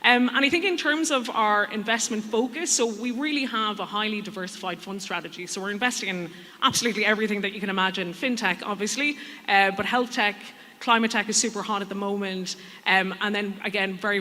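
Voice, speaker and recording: Bright-voiced woman panelist in a hall. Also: medium pitch, steady tone, fast. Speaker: panelist, venture capital investor. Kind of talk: conversational panel introduction. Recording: reverberant hall sound.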